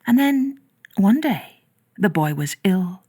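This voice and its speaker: A woman narrating a children's story in a measured, expressive way, drawing out some words. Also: mid-range voice, husky. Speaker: storyteller, podcast host.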